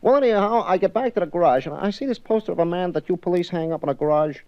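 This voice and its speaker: An actor playing a chatty, rambling cab driver in a 1950s radio drama. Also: male voice, sits high, lively intonation, fast, dry recording. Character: fast-talking male cab driver, rambling. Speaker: cab company representative. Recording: dull old radio broadcast.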